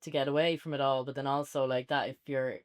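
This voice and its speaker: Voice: medium pitch, flat delivery, quick pace, smooth. An Irish reviewer chatting casually and unscripted, rambling along with plenty of "like" thrown in. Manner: rambling, heavy use of "like". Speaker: podcast reviewer.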